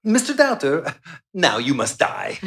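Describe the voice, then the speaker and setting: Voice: mid-range voice, wildly swooping pitch, unhurried. An actor playing a greedy, centuries-old vampire doctor in a live radio play, with theatrical, gloating menace. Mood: gloating, menacing.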